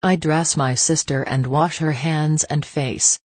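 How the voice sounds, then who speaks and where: A woman reading translated practice phrases slowly and evenly, one at a time. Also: deep voice, no room sound. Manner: slow, even, careful phrase-by-phrase reading. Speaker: phrase reader.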